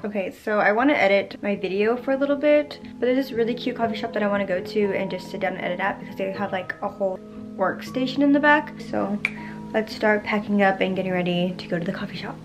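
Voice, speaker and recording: A woman's voice: mid-range voice, fast. Young female vlogger, casual, chatty, mid-range voice, with music beneath her. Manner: chatty, relaxed, uses "like" often. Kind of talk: casual vlog narration. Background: soft background music.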